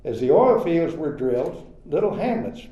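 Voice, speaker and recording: An older man from Oklahoma reading a prepared personal history as a storyteller at an event, in a measured, plain way. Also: fairly high pitch, very animated. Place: retirement community event room. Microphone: handheld microphone. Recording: echoey, slightly dull room recording.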